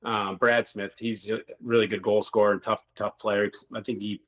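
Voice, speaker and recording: A man's voice: lowish voice, flat delivery, normal speed, fairly bright tone. A middle-aged man, a former hockey player, telling rambling stories in a casual interview and swearing now and then.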